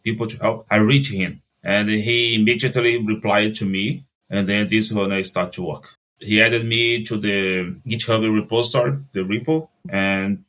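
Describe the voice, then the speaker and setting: A man's voice: on the low side, fairly even intonation, a bit bright, low-quality recording. A Brazilian man with a Brazilian Portuguese accent, answering interview questions in casual conversation, often stopping and restarting his phrases. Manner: halting, restarts phrases, non-native grammar. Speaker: podcast guest, translator.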